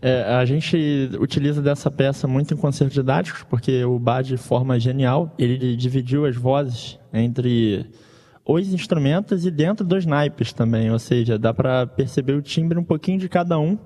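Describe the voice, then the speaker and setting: A man's voice: mid-range voice, moderate pace, warm tone, long reverb tail. A man with a Brazilian accent, an orchestra musician explaining things casually as a guest. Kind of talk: casual explanatory interview talk.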